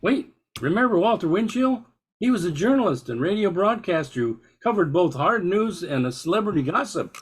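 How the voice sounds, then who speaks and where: A man's voice: high-pitched, animated, moderate pace, a little hiss or hum. An older man presenting a nostalgic radio show with scripted, chatty narration.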